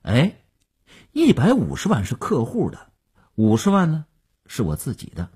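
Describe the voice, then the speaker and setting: A man's voice: mid-range voice, huge pitch swings, a little mellow, slightly rough, full character voice. A man playing a smug, boastful stock speculator who cajoles his partner in chatty audiobook dialogue. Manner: chatty, cajoling, with drawn-out particles and chuckles.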